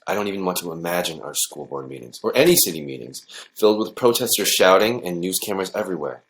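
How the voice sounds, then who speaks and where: An actor playing a worried, cautious high school principal in an audio drama, speaking in a measured, conciliatory way. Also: male voice, animated, a bit bright, poor audio. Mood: cautious, concerned.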